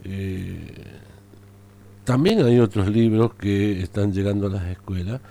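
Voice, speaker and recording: Male radio host, Argentine accent, slow and hesitant, mellow low voice. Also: gravelly. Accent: Argentine Spanish. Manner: hesitant, many fillers. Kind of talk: casual radio talk.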